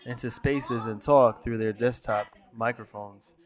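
A man's voice: mid-range voice, steady tone, unhurried. A man hosting a live stream, rambling casually and grumbling with some swearing.

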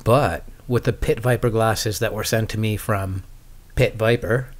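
A man's voice: lowish voice, fairly even intonation, a little slow. A man hosting a skating podcast, chatting casually and ramblingly with dry, wry humour as he answers viewer questions.

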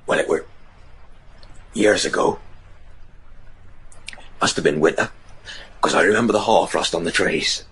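A man playing a haunted, superstitious rural trapper in a gothic horror radio drama, with a rough, husky voice. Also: low voice, measured pace, long pauses, fairly bright tone, exaggerated voice. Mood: haunted recollection.

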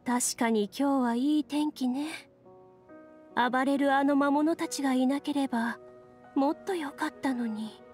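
A woman's voice: sits high, measured pace, a little mellow, pitched above the performer's own voice. A woman playing a melancholy young townswoman, polite but cold, in an anime game. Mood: gloomy. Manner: reserved, polite. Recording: music behind the voice.

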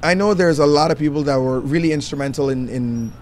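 Encouraging young male interviewer, higher voice. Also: a little fast, darkish tone, slight background noise. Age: young adult. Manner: encouraging, with many "mm-hmm" backchannels. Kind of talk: casual interview questions. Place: outdoor terrace by a balustrade. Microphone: handheld mic.

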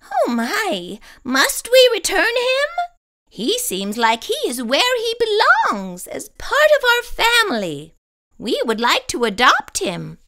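Woman acting a squealing, high-pitched excitable old lady. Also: takes it slowly, heavily acted. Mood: pleading. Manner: squealing, exclamatory, scolding or cooing. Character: excitable, kindly elderly lady who owns a poodle.

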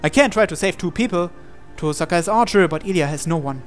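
A man's voice: high-pitched, expressive, fast talker, soft background music. A man reading a visual novel aloud as a game player, in dramatic storytelling narration.

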